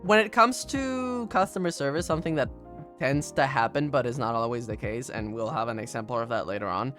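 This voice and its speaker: A young man from Argentina telling travel stories in casual chat, laughing often and jumping high in pitch when he quotes people. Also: brisk, soft background music. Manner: animated, laughs often, raises pitch sharply to act out quotes. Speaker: streamer.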